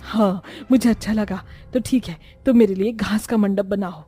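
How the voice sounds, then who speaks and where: A woman's voice: medium pitch, steady tone, normal speed, dark tone, husky, soft background music, natural voice. A woman voicing a young, lovestruck and bossy witch bride in a horror-comedy cartoon. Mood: pleased, excited.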